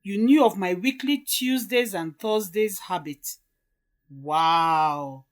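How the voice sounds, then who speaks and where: A woman's voice: medium pitch, slow, natural voice. A woman playing an indignant, melodramatic middle-aged mother in a story drama. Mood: shocked, indignant. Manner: emphatic, melodramatic.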